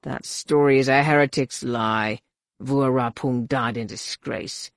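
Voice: mid-range voice, level tone, a little fast, dry recording, clean studio sound, lightly acted. A narrator voicing a massive alien war leader from an audiobook, contemptuous and zealous, with a sneering, menacing delivery. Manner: sneering, menacing, barked commands.

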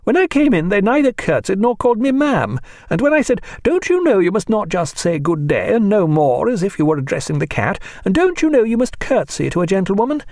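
A man voicing a snobbish, indignant older gentlewoman in a shrill, scolding voice. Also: sing-song, a little fast, no echo, clean studio sound.

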